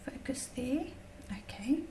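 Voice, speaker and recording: A woman teaching a tarot lesson in a chatty, casual way, her voice slightly croaky from a long day of talking, often checking in with "okay?". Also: high-pitched, expressive, unhurried, warm tone, in a whisper, recorded from a distance. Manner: chatty, many fillers and "okay?" check-ins.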